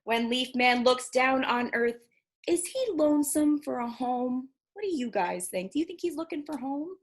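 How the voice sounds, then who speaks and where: A woman librarian reading picture books aloud to children, expressive and encouraging, often putting questions to her young listeners. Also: high-pitched, moderate pace.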